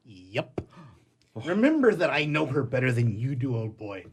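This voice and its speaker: A man's voice: medium pitch, big pitch swings, a little slow, breathy edge. An actor playing a jilted, cynical man of the world in a stage comedy, with a sarcastic, declamatory manner. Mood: dry, resigned. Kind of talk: theatrical stage comedy acting.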